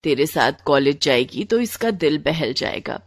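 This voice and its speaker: A woman's voice: sits low, expressive, moderate pace, no room sound, voice pushed down. A woman voicing a caring, practical older mother-in-law in a cartoon moral story. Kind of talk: animated moral story dialogue.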